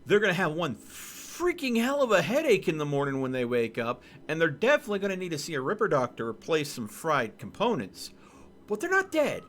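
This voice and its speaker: A man streaming a game, chatting casually with viewers in an animated, joking way. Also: a little high, brisk. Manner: animated, joking, talks to chat. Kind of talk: casual gameplay commentary.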